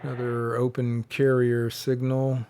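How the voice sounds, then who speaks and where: Slow-talking man with noise behind him. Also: monotone, warm tone. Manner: low-key, halting, thinking aloud. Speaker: shortwave radio hobbyist presenting. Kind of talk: casual unscripted commentary while tuning and showing gear.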